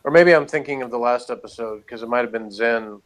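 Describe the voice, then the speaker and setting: A man's voice: mid-range voice, fairly quick. A man co-hosting a casual review chat, talking in a rambling, matter-of-fact way. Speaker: podcast co-host reviewing a TV show.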